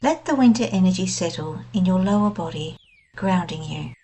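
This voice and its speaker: A woman guiding a scripted meditation in a gentle, soothing, unhurried manner. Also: fairly low pitch, animated. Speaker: meditation guide. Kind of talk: scripted guided meditation narration.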